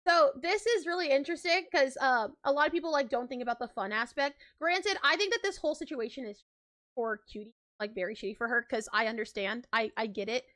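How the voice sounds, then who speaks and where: A woman's voice: sits high, fairly quick, fairly bright tone. A young woman streaming live, chatting casually and rambling with lots of filler words and frequent laughs.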